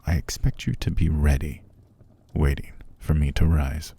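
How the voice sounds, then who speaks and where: Man voicing cruel vampire master, ASMR roleplay, husky and breathy, dark soft tone, condescending, commanding. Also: fairly even intonation, heavily acted. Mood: commanding, possessive. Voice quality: very deep, gravelly, breathy. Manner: slow, condescending, commanding, drifting into whispers. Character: cruel, haughty, possessive undead male vampire master. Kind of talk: ASMR roleplay, scripted character monologue.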